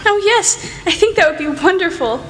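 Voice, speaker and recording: An earnest, forthright young woman writer played by a student actress in a radio play. Her voice is high and theatrically expressive. She sounds out in a reverberant hall, with music clearly playing behind her. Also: a little fast. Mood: eager, bright. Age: teenage student.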